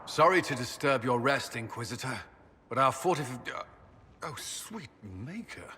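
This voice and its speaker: An actor voicing an earnest, flustered military commander in a video game, stiff, awkward and halting. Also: male voice, mid-range voice, animated, pushed and bright, long reverb tail. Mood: mortified.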